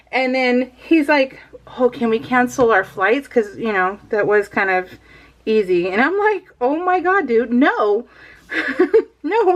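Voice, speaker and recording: Giggly, chatty woman vlogger. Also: high-pitched. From California. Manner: chatty, giggly, rambling with many restarts. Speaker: scrapbooking vlogger. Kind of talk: casual chat while crafting.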